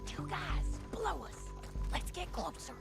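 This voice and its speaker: A woman's voice: very high-pitched, huge pitch swings, unhurried, gravelly, loud music behind the voice, full character voice. A woman playing a tough, wry, plainspoken bounty hunter with an American accent in a western video game. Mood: tense, hushed. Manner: plainspoken, commanding, folksy.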